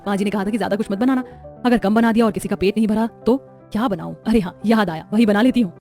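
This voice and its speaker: A woman's voice: mid-range voice, fast, mellow, soft background music, lightly acted. A woman playing a sweet, naive young village bride in a story, innocent and dutiful. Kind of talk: cartoon moral-story acting.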